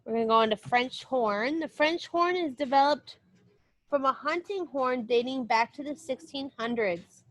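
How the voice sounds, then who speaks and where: A woman with a somewhat high-pitched voice reads aloud in the role of a music teacher. She speaks in an explanatory way aimed at young listeners, moving between the book's text and short asides of her own. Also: moderate pace, fairly bright tone, dry recording, low-quality recording. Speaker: music teacher reading a children's book aloud. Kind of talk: read-aloud lesson with short spoken asides.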